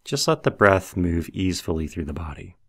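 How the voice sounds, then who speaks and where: A man leading a guided meditation as scripted narration, with measured, instructional delivery. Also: sits low, big pitch swings.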